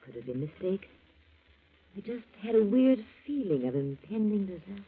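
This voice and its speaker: An actress playing an uneasy, foreboding woman with a husky voice in a 1940s radio mystery, on a muffled old recording. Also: medium pitch, very animated, a little fast. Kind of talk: 1940s radio drama acting.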